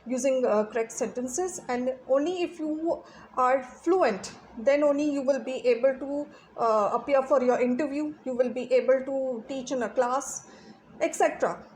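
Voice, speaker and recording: A middle-aged woman, an English teacher interviewed as a guest. Her voice sits a little high, and she explains things earnestly, stopping often to hunt for the right word. There's a faint hiss in the background. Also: a little mellow. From Pakistan. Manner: earnest, explanatory, pauses often to find words. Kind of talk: interview answers.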